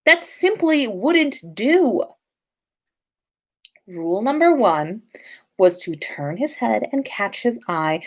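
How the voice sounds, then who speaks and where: Female podcast narrator for fiction: animated, wide-ranging melody, unhurried delivery. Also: medium pitch. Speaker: podcast host reading fan fiction. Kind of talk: expressive story narration.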